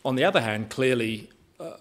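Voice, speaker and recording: A man from England speaking as an academic panelist, deliberate and wry, in a lecture room. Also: medium pitch, lively intonation. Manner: deliberate, wry, builds lists.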